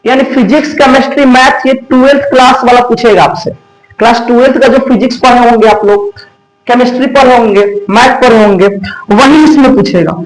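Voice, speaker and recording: Bihari guy teaching exam prep, really high pitched voice, repeats stuff, roomy echo. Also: brisk. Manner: repeats key phrases and asks then answers own questions. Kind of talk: instructional explanation to students. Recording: echoey room.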